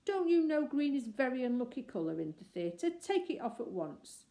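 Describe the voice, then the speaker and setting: A woman voicing a grumpy, vain male stage actor who booms and talks dramatically. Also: big pitch swings, a little fast, gravelly, dark tone. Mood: cross. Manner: booming, dramatic.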